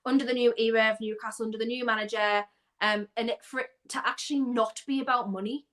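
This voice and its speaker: A young woman from North East England chatting casually about football as a guest, animated and quick to laugh. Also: a little high. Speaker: guest fan.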